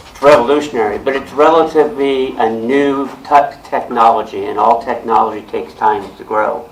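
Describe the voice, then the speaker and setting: A man giving a loud, plain-spoken talk to a club audience, often repeating and restarting words as he explains. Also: mid-range voice, a little hiss or hum, low-quality recording. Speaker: guest speaker on technology. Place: meeting room with audience. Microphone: handheld or stand mic with speaker system.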